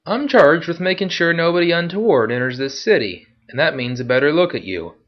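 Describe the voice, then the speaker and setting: A man voicing a gruff, taunting male city guard who talks loud and swaggering. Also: on the high side, lively intonation, a little fast, pitched above the performer's own voice, close to an everyday voice. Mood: mocking. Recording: dull lo-fi recording.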